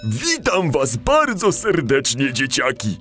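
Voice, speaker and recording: Slow, lively hippo dad ecologist. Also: male voice, mid-range voice, soft background music, full character voice. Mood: friendly, explaining. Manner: enthusiastic, didactic, speaking to kids. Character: friendly hippo dad, an ecologist visiting school. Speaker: guest speaker to children. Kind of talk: children's audio play acting.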